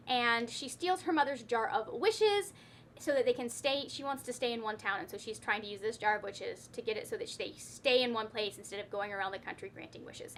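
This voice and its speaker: A woman's voice: a little high, bright, forceful tone, slight background noise. A woman talking casually as a book vlogger, rambling and correcting herself with playfully wry asides. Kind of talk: casual chatty book talk to camera.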